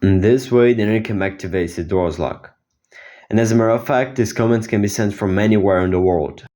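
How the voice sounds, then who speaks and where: Low-voiced teenage guy presenting. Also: brisk, dark tone. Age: teens. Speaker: student inventor presenting own project. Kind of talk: explanatory project demo.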